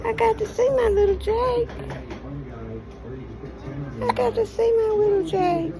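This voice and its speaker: A woman's voice: very high voice, unhurried, a loud crowd. A woman cooing to a baby in doting, repetitive, sing-song soothing baby talk.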